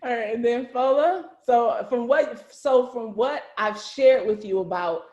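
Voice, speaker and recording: A woman's voice: fairly high pitch, a little slow, a little mellow, highs cut off. Woman, chatty host, informal storytelling, frequent check-ins, echoey video call. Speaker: host and series writer. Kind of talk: casual video-call group chat. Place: indoors on a group video call. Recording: roomy echo over video call.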